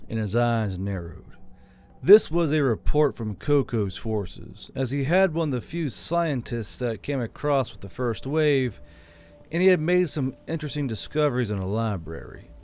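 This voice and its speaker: A man's voice: mid-range voice, darkish tone. A man narrating a fiction audiobook from a script.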